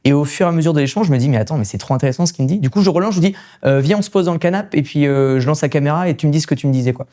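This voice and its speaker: Fast-talking mellow Frenchman telling stories. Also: on the high side. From France. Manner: animated storytelling with slangy English loanwords. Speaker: podcast guest, gym equipment coach and reseller. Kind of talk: casual anecdotal interview chat.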